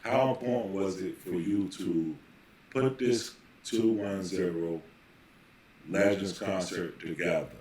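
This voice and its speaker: A man hosting a casual podcast interview, building long questions in halting, repeated phrases. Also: deep voice, a little mellow. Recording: very echoey room, faint background noise.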